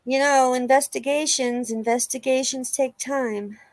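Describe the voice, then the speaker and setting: A woman's voice: sits high, steady tone, a little slow, darkish tone, no room sound. A woman reading the part of a sympathetic, agreeable investigator in a conversational dramatic reading of a police interview. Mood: understanding.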